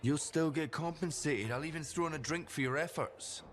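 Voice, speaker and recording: An actor playing a cynical, disgruntled agent in a video game cinematic, wry and blunt in manner. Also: male voice, fairly high pitch, normal speed, fairly bright tone, a little hiss or hum, close to an everyday voice. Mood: wry, brisk. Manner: sardonic, blunt.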